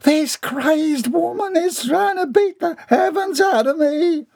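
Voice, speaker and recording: A reader voicing Bobby Sue, an indignant servant woman who wails and shrieks in a high, drawn-out voice. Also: animated, no room sound, clean studio sound. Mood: shrieking.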